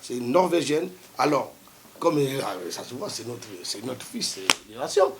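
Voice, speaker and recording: A man's voice: mid-range voice, animated, moderate pace, creaky, a bit bright, slight background noise. A man, a studio guest arguing his point on a TV talk show, insisting and repeating himself to keep the floor. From Democratic Republic of the Congo. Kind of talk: heated debate on a TV talk show.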